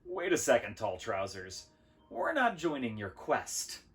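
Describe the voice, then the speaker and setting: A man voicing a small, sharp woman companion in a storybook, with a brisk, mocking manner. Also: medium pitch, wildly swooping pitch. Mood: firm, dismissive.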